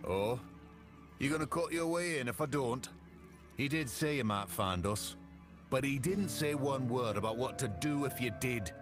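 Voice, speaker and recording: An actor playing a surly, menacing guard in a fantasy game, with a taunting, sardonic way of talking. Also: male voice, mid-range voice, lively intonation, normal speed, music in the background, lightly acted. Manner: taunting, sardonic, casual threats. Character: surly, menacing male camp guard itching for a fight.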